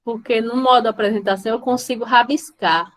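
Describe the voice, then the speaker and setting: A woman's voice: a little high, steady tone, fairly quick, a bit bright. A woman with a Brazilian accent teaching informally in a live online class, often stopping to question and check on her students. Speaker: mathematics teacher.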